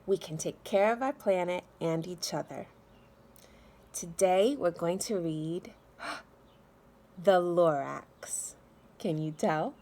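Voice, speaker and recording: A middle-aged woman talking and reading aloud to young children in an expressive teacher's manner. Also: fairly low pitch, slow, long pauses. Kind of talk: children's read-aloud with chatty introduction. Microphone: phone at arm's length.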